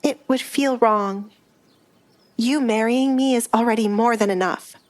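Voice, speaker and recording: A woman playing a demure young noblewoman with quiet defiance, speaking softly in an audio drama. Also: fairly high pitch, measured pace, pitched above the performer's own voice, lightly acted. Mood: timid.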